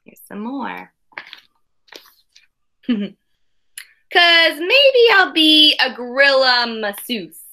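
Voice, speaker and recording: A woman's voice: high voice, very animated, extremely slow, pauses often, bright, forceful tone. A woman in her thirties reading a picture book aloud as a teacher, expressively, with simple asides for children. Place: small room at home.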